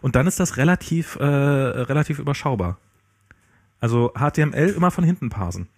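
A man's voice: mid-range voice, animated, a little fast, a little mellow. A man in casual conversation, explaining a coding idea hesitantly with restarts. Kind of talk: casual tech chat.

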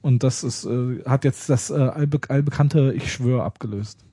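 A man's voice: medium pitch, moderate pace, mellow, breathy edge, dry recording. A man co-hosting a casual tech podcast, joking and rambling with lots of fillers and restarts. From Berlin. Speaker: podcast co-host.

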